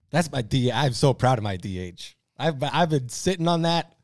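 Male panelist, mid-pitched, highly animated, enthusiastic sports-style banter, repeats words for emphasis. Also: normal speed, no room sound. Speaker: podcast panelist. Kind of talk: casual comic banter, sports-draft parody.

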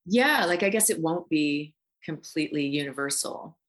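A woman's voice: low voice, expressive, moderate pace, fairly bright tone. A middle-aged woman from Canada, talking casually and reflectively as an interview guest. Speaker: guest voice actor and writer.

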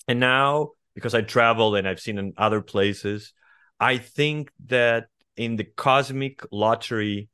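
A man in his forties from Portugal with a Portuguese accent, speaking as a guest writer in an interview, thoughtful and hesitant, often pausing and restarting. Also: lowish voice, fairly bright tone, no echo, clean studio sound. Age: 40s. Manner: reflective, hesitant with many fillers and restarts. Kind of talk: conversational interview.

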